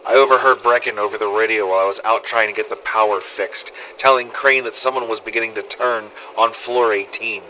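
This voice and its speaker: Man acting a sardonic apocalypse survivor on bright cassette tape. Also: on the low side, fairly even intonation, brisk, few pauses, rough recording. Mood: grim, subdued. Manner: sweary, sardonic, world-weary storytelling. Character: gruff, foul-mouthed zombie-apocalypse survivor, wry and cynical. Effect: old cassette recorder filter. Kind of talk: scripted in-character audio diary narration. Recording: old cassette tape with faint noise.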